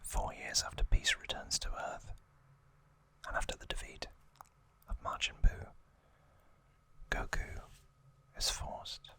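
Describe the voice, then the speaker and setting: A man hosting ASMR, whispering trivia in a breathy, hushed way, in short halting phrases. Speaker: ASMR host.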